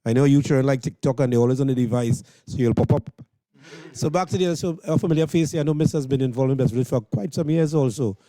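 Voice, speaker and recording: A man's voice: medium pitch, quick pace, mellow, dry recording. A man with a Trinidadian accent hosting informal interviews with performers at an event. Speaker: interviewer and host.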